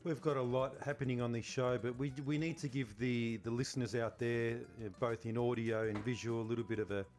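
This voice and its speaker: A man, co-hosting a podcast and asking questions in a casual, halting way. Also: medium pitch, steady tone, a little mellow, faint music behind. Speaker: podcast co-host. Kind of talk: casual chat, interviewing.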